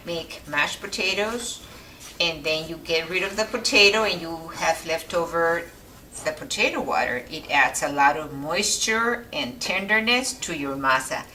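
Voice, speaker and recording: A woman's voice: lowish voice, expressive, measured pace, sharp tone, distant mic, a little hiss or hum, low-quality recording. A woman from Mexico with a Mexican accent, a native Spanish speaker, hosting an informal home recipe demonstration. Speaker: home cooking video host. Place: kitchen.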